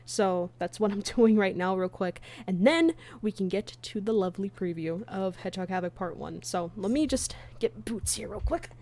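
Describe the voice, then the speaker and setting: A woman streamer talks in a casual, informal way to her viewers. Her voice sits at an ordinary female pitch, and she speaks as if chatting through a livestream. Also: moderate pace, few pauses, darkish tone. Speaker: streamer, fan comic dub creator.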